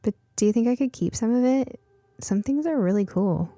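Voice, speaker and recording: A woman's voice: fairly high pitch, lively intonation, moderate pace, dark tone, voice pushed up, lightly acted. A woman voicing a curious, science-minded young girl in an audiobook story, earnest, inquisitive and a little secretive. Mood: eager.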